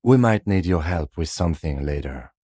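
A man playing a composed, dutiful police lieutenant in a video game, speaking in a measured, precise, formal and understated way. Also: low-pitched, animated, warm tone, husky, no room sound, clean studio sound. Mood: calm, matter-of-fact. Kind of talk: scripted game dialogue.

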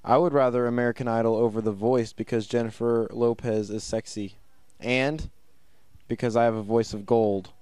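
A man's voice: mid-range voice, fairly even intonation, normal speed, a little mellow, clear, resonant tone, dry recording. A teenage boy answering a question with a boastful joke, at the school lockers with a handheld mic. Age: teens. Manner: joking, boastful. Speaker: student interviewee.

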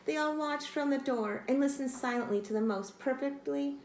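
A woman's voice: sits high, moderate pace. A woman in her forties reading a children's story aloud expressively, like a teacher, recorded in a small room at home. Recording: echoey room, light background noise.

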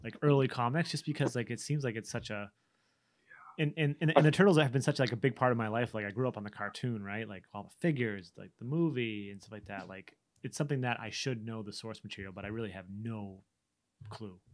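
A man's voice: mid-range voice, brisk. A man in his thirties chatting casually as a podcast co-host from a room at home. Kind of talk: casual chat. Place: room at home, video call.

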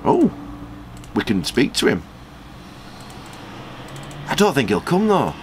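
Man in his thirties, rambling game-channel host with a lively, bouncing voice, some faint hum behind. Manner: chatty, rambling, quick jumps in pitch when surprised. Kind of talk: casual game commentary and comment reading.

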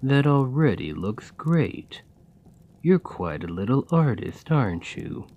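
A man playing a kind, patient gravedigger in a soft, soothing, encouraging ASMR roleplay. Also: mid-range voice, big pitch swings, slow pace, highs cut off, a little hiss or hum. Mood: gentle encouraging. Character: kind, gentle gravedigger, patient and playfully fond. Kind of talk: ASMR roleplay, scripted comforting monologue.

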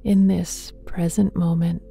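A woman guides a meditation in a very dark, soft, mellow voice. She speaks slowly and gently, hushed and often breathy to the edge of a whisper, addressing the listener with tender affection. Soft music plays behind her. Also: fairly low pitch, flat delivery. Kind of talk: guided meditation, slow and soothing. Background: soft meditation music with singing bowls.

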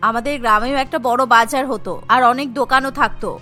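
A woman's voice: fairly high pitch, steady tone, quick pace, bright, forceful tone, soft background music, voice pushed down. A woman voicing an agreeable, questioning younger sister-in-law in a village cartoon, earnest and deferential. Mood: complaining. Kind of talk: Bengali cartoon dialogue.

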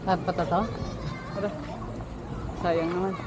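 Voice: mid-range voice, level tone, takes it slowly, over a noisy crowd. Chatty vlogger on a noisy outdoor walk. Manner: chatty, pointing things out. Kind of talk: casual walking tour chat. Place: outdoor resort grounds. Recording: loud outdoor noise and music.

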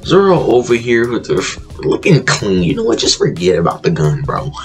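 A man's voice: fairly low pitch, wildly swooping pitch, normal speed, faint music behind. A young man hosting a reaction video from his bedroom, laid-back and chuckling, with a groggy just-woke-up morning voice. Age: young adult. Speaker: reaction channel host. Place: bedroom, indoors.

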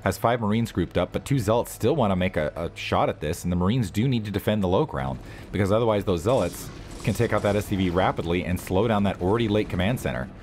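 A man with a sore, hoarse throat giving casual play-by-play commentary on a strategy game match from home, chatty and rambling between calls. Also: fairly low pitch, animated, fast, a little mellow, slight background noise. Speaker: game caster. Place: home room, daughter nearby.

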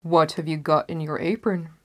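A woman's voice: low voice, steady tone, normal speed, natural voice. Woman as a crafty, coaxing storybook wolf. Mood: falsely friendly. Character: crafty, wicked male wolf, greedy and falsely friendly.

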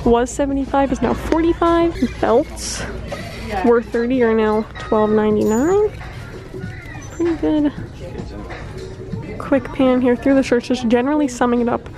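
A woman's voice: a little high, measured pace, long pauses. A young woman filming herself walking through a store on a handheld phone, chatting casually and reading out prices as she gushes over the items. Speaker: vlogger touring an outlet store. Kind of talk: casual shopping walkthrough. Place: indoor retail store. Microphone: handheld phone camera. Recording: store with background music playing.

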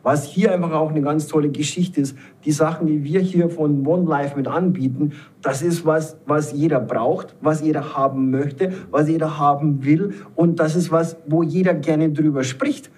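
A man in his fifties giving an enthusiastic, emphatic network marketing pitch, repeating words for stress. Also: fairly even intonation, moderate pace, a little mellow, breathy edge. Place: indoor event room, panel seated around a table.

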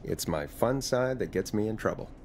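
An actor playing a weary, dry-witted late-night radio host in a video game, deadpan and grudging. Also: male voice, lowish voice, normal speed, soft background music, natural voice. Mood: reluctant, dry. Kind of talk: game voice acting.

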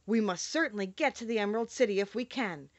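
A woman's voice: mid-range voice, fast, no room sound, highs cut off, lightly acted. A woman voicing a worried, earnest, self-pitying straw scarecrow in a plaintive way for a storybook.

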